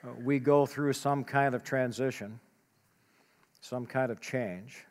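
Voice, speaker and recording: A man leading a church service as pastor, praying and preaching in a measured way with frequent hesitant pauses. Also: medium pitch, darkish tone. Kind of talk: church announcement, prayer and sermon. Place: church sanctuary. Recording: long church hall reverb.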